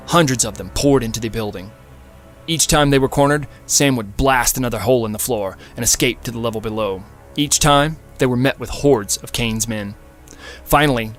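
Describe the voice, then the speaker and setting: A man's voice: mid-range voice, fairly bright tone. A man narrates fiction in a mid-range voice. His delivery is scripted and dramatic, in the manner of an audiobook, with music playing behind him.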